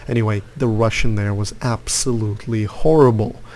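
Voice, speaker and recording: Opinionated man, low voice. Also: fairly even intonation, normal speed, darkish tone, a little hiss or hum. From Lithuania. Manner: rambling, opinionated, many fillers. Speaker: gaming youtuber. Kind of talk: casual gameplay commentary.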